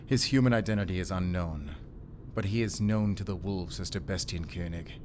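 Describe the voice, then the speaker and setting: Werewolf hunter played by a man, stoic, dry-witted, calm and measured, lowish voice, faint background hiss. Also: lightly acted. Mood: grave. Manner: calm, measured, matter-of-fact. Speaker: audio roleplay lead. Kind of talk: cinematic audio roleplay acting.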